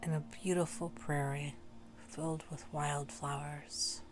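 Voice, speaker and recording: A woman leading a guided meditation in a gentle, unhurried way, leaving long pauses between short phrases. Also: deep voice, level tone, over music, poor audio. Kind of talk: slow guided meditation narration.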